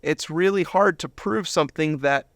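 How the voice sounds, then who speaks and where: Gravelly-voiced man telling his story. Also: a little high, moderate pace, fairly bright tone, slight background noise. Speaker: interview guest. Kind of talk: personal testimony storytelling in an interview.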